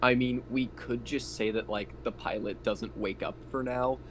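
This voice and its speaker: Male player at a tabletop game, medium pitch, casual chat, humming noise behind. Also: fairly even intonation, normal speed, a bit bright. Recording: clear background noise and hum.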